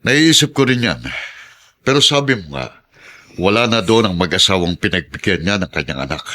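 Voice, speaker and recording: A troubled mayor in a radio drama, deep and forceful. He is grave and guarded, with lively emphasis. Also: normal speed. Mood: worried. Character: worried, secretive town mayor with a missing wife. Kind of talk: Filipino radio drama acting.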